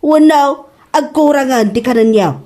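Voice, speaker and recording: A woman's voice: high-pitched, normal speed, mellow, lightly acted. A woman playing a meddling, disapproving older mother who nags dramatically, with an Ilocano accent in a comedy drama. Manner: nagging, dramatic.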